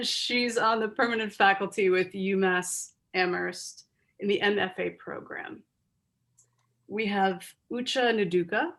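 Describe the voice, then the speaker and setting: A woman's voice: medium pitch, fairly bright tone. A woman moderating an online literary panel over a video call. She gives earnest, gushing introductions full of ums.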